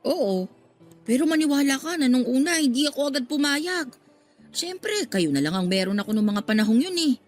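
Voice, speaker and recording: An actress playing a talkative, motherly middle-aged working-class woman in a radio drama, expressive and given to exclaiming. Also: high voice, moderate pace. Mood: regretful, explaining. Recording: music under the voice.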